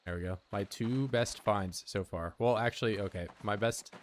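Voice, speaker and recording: A man's voice: on the low side, moderate pace, a little hiss or hum. A young man streaming a survival game, rambling and joking with his chat in a casual way. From United States. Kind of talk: casual gameplay commentary.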